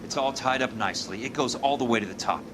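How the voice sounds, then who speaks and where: Male actor, grim hard-boiled 1940s investigator, mid-pitched, urgent, detective game, clear background noise. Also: quick pace, close to an everyday voice.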